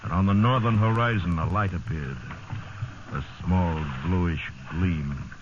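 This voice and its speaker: Solemn male narrator, extremely low voice, literary sea tale, old radio drama, muffled hissy sound. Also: measured pace. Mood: grave. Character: grave, literary male storyteller of a sea ordeal.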